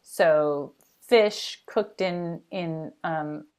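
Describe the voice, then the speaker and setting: A woman guest on a podcast, telling stories in an animated, quick way. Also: low voice, smooth. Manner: animated, quick, laughs while recalling. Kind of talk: casual interview chat.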